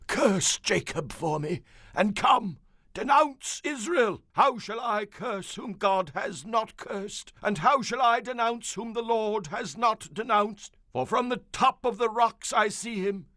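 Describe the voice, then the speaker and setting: An actor playing a solemn, fervent prophet, declaiming oracles with drawn-out emphasis in a radio-style Bible drama. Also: male voice, very high-pitched, bright, forceful tone, dry recording, studio quality, full character voice. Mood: solemn, prophetic. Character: solemn male prophet proclaiming oracles with fervor.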